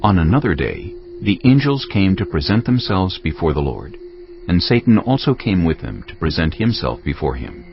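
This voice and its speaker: A man narrating scripture in a grave, measured way. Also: low-pitched, sing-song, creaky. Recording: dull lo-fi recording. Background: cinematic music.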